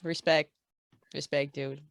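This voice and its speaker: A woman's voice: sits low, flat delivery, takes it slowly, a little hiss or hum. A woman chatting casually as a player in a tabletop game. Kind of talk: casual chat.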